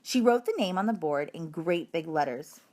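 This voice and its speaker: A woman reading a children's story aloud in an expressive, emphatic narrating style. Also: on the low side, normal speed. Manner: animated, emphatic delivery. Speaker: audiobook reader.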